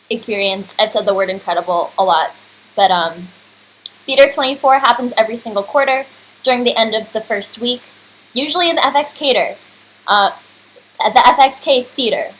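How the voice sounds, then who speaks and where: A woman's voice: fairly high pitch, fairly bright tone. A woman in her early twenties hosting casually and effusively on a livestream. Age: early 20s. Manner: effusive, informal. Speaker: host and curator. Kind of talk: casual unscripted livestream address. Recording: clearly noisy home computer livestream.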